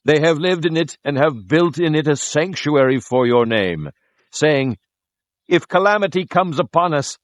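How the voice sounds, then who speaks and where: A man's voice: mid-range voice, dry recording, lightly acted. An actor playing a devout, impassioned king in a dramatized audio Bible, speaking in a solemn, declamatory way. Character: devout, impassioned king of Judah praying and exhorting his people.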